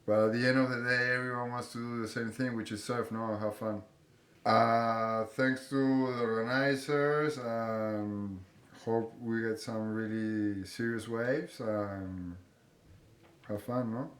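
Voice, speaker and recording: A man's voice: medium pitch, fairly even intonation, a bit bright, echoey room. A male surfer from Peru who speaks natively, tagging "no?" onto his sentences during a contest interview. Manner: slow, adds a questioning "no?" to his sentences.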